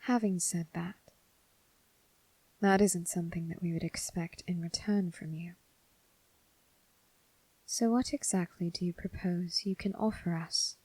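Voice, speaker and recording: A woman voicing a sly, predatory demon disguised as a servant in a scripted ASMR roleplay, with a breathy, whispery voice and a teasing, deliberate delivery. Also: on the low side, fairly even intonation, dark tone, no echo, rough recording. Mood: measured, businesslike. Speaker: voice actor.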